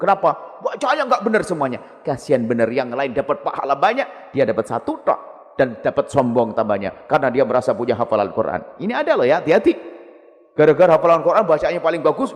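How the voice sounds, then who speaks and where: Brief: lively, joking male preacher, swooping pitch, hall echo. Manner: animated, humorous, acts out quotes. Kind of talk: sermon. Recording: long hall reverb.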